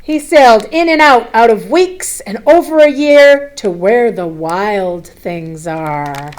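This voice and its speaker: A woman reading a picture book aloud to children, expressive, with chatty asides, questions and simple explanations. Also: on the high side, slow, poor audio.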